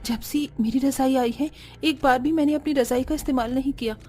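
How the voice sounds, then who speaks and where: Woman acting plaintive young daughter-in-law, high voice. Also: steady tone, fairly quick, a little mellow. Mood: brooding. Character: long-suffering, soft-spoken young daughter-in-law. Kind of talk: Hindi cartoon dialogue acting.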